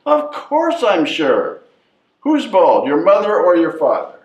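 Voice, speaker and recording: Bossy, cocky newborn baby played by a man, lively swings in pitch, distant echoey stage recording. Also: takes it slowly, lo-fi sound, heavily acted. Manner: bossy, know-it-all. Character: cocky, chatty newborn baby, sure of being a girl. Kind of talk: readers theatre comedy performance.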